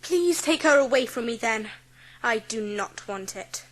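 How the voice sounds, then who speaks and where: A woman playing a proud, bereaved young girl in an audiobook drama, composed, formal and quietly defiant. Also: on the high side, animated, a little slow. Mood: quiet defiance. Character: proud, self-possessed, bereaved seven-year-old girl.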